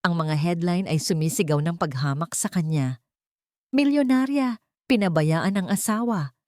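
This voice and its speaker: Low-voiced Filipina woman narrating drama. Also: expressive, normal speed, darkish tone, no room sound, studio quality. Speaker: audiobook narrator. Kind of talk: dramatic scripted story narration.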